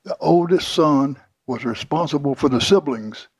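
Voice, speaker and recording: A man's voice: on the high side, normal speed, a little mellow, slightly rough, dry recording. An older man telling his life story in an interview, plainly and with many restarts. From Houston, Texas. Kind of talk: oral history interview.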